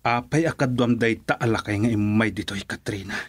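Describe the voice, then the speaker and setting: A man's voice: lowish voice, fairly quick, husky, no echo. An actor playing a wronged, pleading man in a radio love drama, earnest and with a Filipino accent. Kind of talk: Ilocano radio drama acting.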